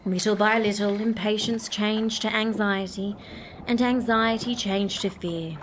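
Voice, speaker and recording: A woman narrating and playing a radio reporter, clear and announcing. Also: mid-range voice, steady tone, unhurried, slight background noise, natural voice, poor audio.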